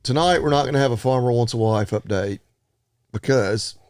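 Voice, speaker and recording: A man's voice: mid-range voice, normal speed, a little mellow, dry recording, studio quality. A man co-hosting a podcast, chatting in a laid-back way with plenty of fillers. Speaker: podcast co-host. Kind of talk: casual chat.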